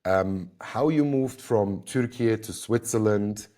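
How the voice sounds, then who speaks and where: A man's voice: fairly low pitch, takes it slowly, warm tone. A man hosting an interview podcast, introducing a guest and asking questions. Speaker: podcast host.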